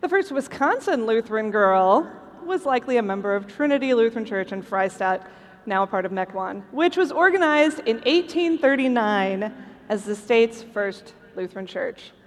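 A woman with a high-pitched voice presents scripted, lighthearted material as a show host. She speaks from a stage in a large hall, with long reverb around her words. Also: expressive, normal speed.

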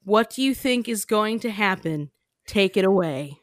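A woman's voice: mid-range voice, lively intonation, unhurried, no echo. A woman podcast host chatting casually and self-deprecatingly, often laughing. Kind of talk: casual chat.

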